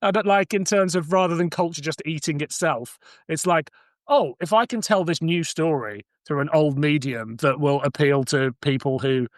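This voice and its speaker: A man speaks in a somewhat high voice, recorded dry with no room sound. He chats casually as a podcast speaker, informal and musing. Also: normal speed, no room sound. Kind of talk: casual chat.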